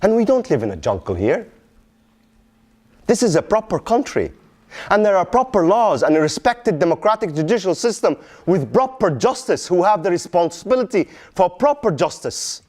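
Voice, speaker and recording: A man's voice: sits high, big pitch swings, normal speed, a little mellow. A man performing a dramatic monologue as an anguished middle-aged Palestinian father, in Arabic-accented English, with emphatic repetition and pointed questions. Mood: controlled, bitter resolve. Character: anguished Palestinian father pleading with his vengeful son.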